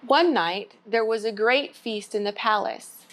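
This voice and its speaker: A woman's voice: on the high side, unhurried, a bit bright, low-quality recording. A woman hosting a children's storytime, reading a storybook aloud in simple language aimed at young children. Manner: simple, child-directed address. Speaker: children's storytime host at a church.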